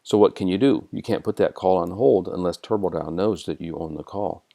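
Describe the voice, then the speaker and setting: An American man narrating an instructional software screencast in a methodical, step-by-step way. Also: sits low, fairly quick, creaky. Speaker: software tutorial presenter.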